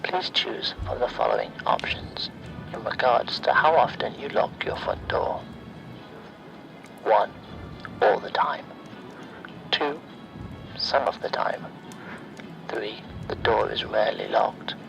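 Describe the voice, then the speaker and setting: A man playing a sinister automated phone survey voice in a horror ASMR roleplay, breathy and often near-whispered, speaking in measured, formulaic announcements. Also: on the low side, lo-fi sound, music in the background. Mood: eerily polite.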